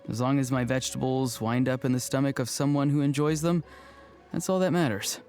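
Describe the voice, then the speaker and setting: Man acting soft-spoken, deadpan young fantasy farmer. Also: mid-range voice, fairly quick, soft background music, close to an everyday voice. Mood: calm, musing. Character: gentle, odd, sleepy young male farmer of fantasy tribe. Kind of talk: video game character voice lines.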